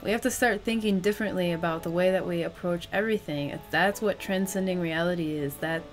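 A woman's voice: lowish voice, brisk, faint music behind. Earnest young woman rambling on a podcast. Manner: earnest, rambling, says like a lot. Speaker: podcast co-host. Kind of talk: casual chat. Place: home room with green curtain.

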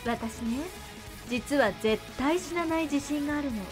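A woman's voice: high-pitched, unhurried, music in the background. A woman playing a haughty, distrustful, secretive character in a voice drama, coy and disdainful. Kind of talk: Japanese voice drama acting.

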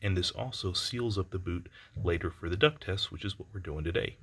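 Low-voiced man explaining a job-site test. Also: brisk, a bit bright, breathy edge. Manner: practical, explanatory, plainspoken. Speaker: HVAC contractor. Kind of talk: instructional job-site vlog. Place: house under construction, indoors.

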